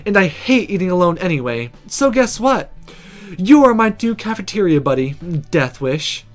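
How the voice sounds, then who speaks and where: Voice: medium pitch, sing-song, moderate pace, faint music behind, natural voice. A game player voicing a brash, bossy teenage schoolgirl in a visual novel, with breezy, cheeky teasing.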